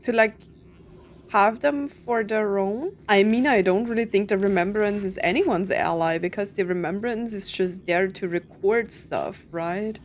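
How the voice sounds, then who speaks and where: A woman's voice: medium pitch, measured pace. A woman giving informal live gameplay commentary, thinking aloud and questioning as she goes. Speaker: gaming video creator reacting to a story quest.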